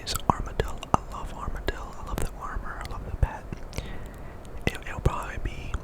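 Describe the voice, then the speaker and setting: Guy whispering asmr gaming commentary, chatty and rambly, super casual. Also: fairly quick. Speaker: video game streamer and host.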